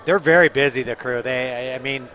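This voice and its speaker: Relaxed, slightly nasal male curling commentator. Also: mid-range voice, brisk. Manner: relaxed, joking color commentary. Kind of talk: play-by-play commentary.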